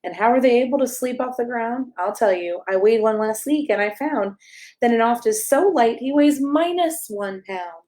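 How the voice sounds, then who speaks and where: A woman's voice: a little high, animated, moderate pace, mellow. Woman reading a playful children's story. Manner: playful, sing-song storybook delivery with emphasis on rhymes. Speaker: pastor and storyteller reading aloud. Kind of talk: expressive read-aloud of a rhyming children's picture book.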